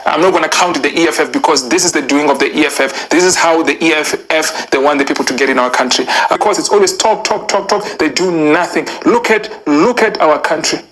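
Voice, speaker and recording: A South African man vlogs his reaction in a rapid, somewhat high voice. He speaks off the cuff with passion, repeating phrases for emphasis and stumbling as he restarts. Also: a bit bright. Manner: repetitive, emphatic, halting phrasing. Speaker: vlogger and political commentator. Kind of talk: impassioned off-the-cuff reaction commentary.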